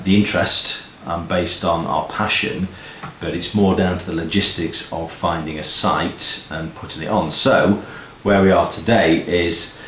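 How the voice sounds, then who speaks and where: A man's voice: sits low, fairly bright tone. A man chatting casually as co-host of an update video, recorded in an office. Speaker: co-host of a music event promoters' video update. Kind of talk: casual two-person chat. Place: indoor office room. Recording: roomy echo, noticeable background noise.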